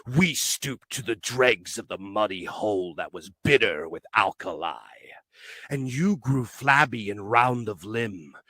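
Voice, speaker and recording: A man recites verse with solemn, declamatory weight. His tone is bright and forceful, and he moves slowly through each measured phrase. The sound is dry, with very little room on it. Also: fairly low pitch, animated, dry recording. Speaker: poetry reader. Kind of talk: dramatic poetry recitation.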